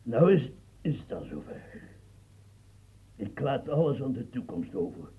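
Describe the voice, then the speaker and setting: A man's voice: mid-range voice, very animated, measured pace, dark tone, full character voice. An actor playing an aging French statesman near death, feverish and rambling, stammering as he talks. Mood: dying whisper. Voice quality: gravelly, stammering. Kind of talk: radio play acting. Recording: tinny lo-fi old radio recording.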